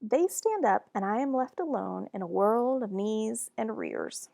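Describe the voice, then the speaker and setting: Animated, slow-paced woman reading a storybook. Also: fairly high pitch, creaky, dry recording, clean studio sound. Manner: deliberate, animated. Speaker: children's book reader. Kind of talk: expressive storybook narration.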